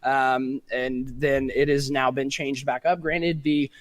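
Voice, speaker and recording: A man's voice: medium pitch, level tone, takes it slowly, dry recording. Chatty male esports caster, dry sound. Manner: chatty, analytical, with many fillers. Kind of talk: casual esports commentary.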